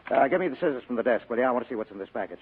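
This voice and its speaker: An actor playing a tough, wisecracking private detective in 1940s radio style, husky and clipped, on a tinny vintage broadcast. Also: male voice, medium pitch, animated, racing, a little hiss or hum, close to an everyday voice. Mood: urgent, commanding. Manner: clipped, hard-boiled, commanding.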